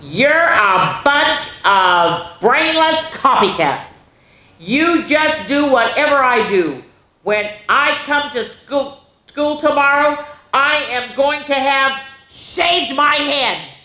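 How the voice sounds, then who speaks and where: A woman's voice: high-pitched, expressive, takes it slowly, bright, forceful tone, voice pushed up, exaggerated voice. Woman playing a defiant little schoolgirl, echoey room. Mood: angry, exasperated. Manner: emphatic, blunt refusals. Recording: roomy, echoing indoor sound.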